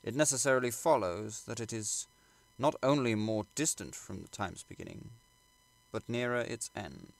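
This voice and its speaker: A man reading literary prose aloud as an audiobook narrator, in a measured, formal and dramatic way. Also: mid-range voice, gravelly, no room sound. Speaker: audiobook reader.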